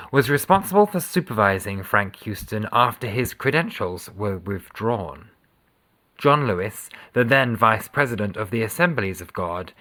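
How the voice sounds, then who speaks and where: A man giving a sober, measured, formal reading of a scripted legal document. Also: fairly low pitch, a bit bright. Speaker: voice reader of a court judgment.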